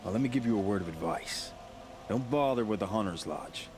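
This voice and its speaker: A man's voice: fairly low pitch, expressive, a little fast, music in the background, lightly acted. An actor playing a blunt, disgruntled male hunter in a video game, speaking in a dry, sardonic way. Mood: wry, cautioning. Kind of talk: video game dialogue acting.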